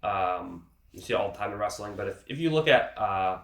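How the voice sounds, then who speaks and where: A man's voice: on the low side, lively intonation, a little fast, roomy sound. A man with a cold teaching grappling techniques in a casual, explanatory way, often checking in with "okay". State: has a cold, sniffling. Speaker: grappling instructor. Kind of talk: instructional teaching.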